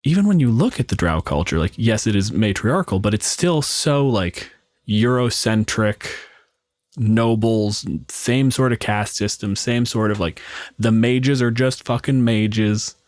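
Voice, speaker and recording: Low-pitched man, dry close sound, explaining. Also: a little slow, darkish tone, dry recording. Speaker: podcast panelist. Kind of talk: casual panel discussion.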